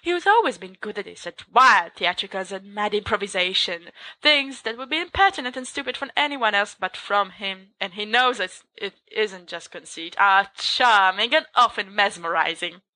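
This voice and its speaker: A woman narrating a story aloud in an expressive, lively reading. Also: mid-range voice, normal speed, dry recording, low-quality recording. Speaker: podfic reader.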